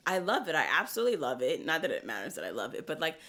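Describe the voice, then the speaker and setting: A woman with a lowish voice hosts a podcast in relaxed conversation. Her pitch swings widely as she talks. She is animated and slangy and laughs easily. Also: very fast. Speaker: podcast host. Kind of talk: casual chat.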